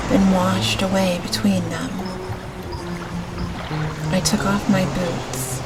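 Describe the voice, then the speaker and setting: A woman with a slightly low voice reads a gothic novel aloud as an audiobook. Her delivery is gentle and very slow, each phrase measured. Prominent music and ambience play beneath her. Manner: gentle, unhurried, measured reading. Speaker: audiobook narrator.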